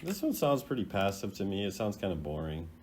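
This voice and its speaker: Male video host, casual commentary, animated intonation, laid-back, rambling, hesitant. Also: lowish voice, a little fast, slight background noise. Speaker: host ranking game voice lines.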